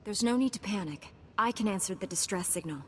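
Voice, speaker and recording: Reverberant, with faint noise behind, a woman plays a composed female knight in a space fantasy game. Her mid-pitched voice is assured and matter-of-fact, with a blunt edge. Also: lively intonation, a little fast, a bit bright, natural voice. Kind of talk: scripted video game cutscene acting. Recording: long reverberant hall, faint background rumble.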